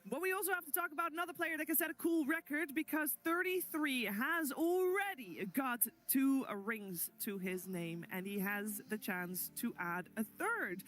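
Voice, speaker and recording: Woman, high-pitched voice, esports panel host, live broadcast discussion. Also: normal speed, hardly any pauses, a bit bright.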